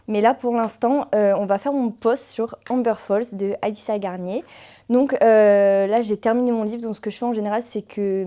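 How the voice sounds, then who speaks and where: Young adult woman, casual vlog host; mellow, relaxed delivery, rambling with filler pauses. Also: mid-range voice. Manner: chatty, fills pauses with hesitations. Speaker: book content creator.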